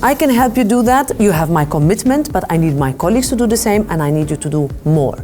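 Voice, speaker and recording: A woman politician speaking directly and encouragingly to young visitors, pausing now and then to find her words. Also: fairly low pitch, lively intonation, warm tone, faint music behind. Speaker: European politician meeting children. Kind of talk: informal meeting talk.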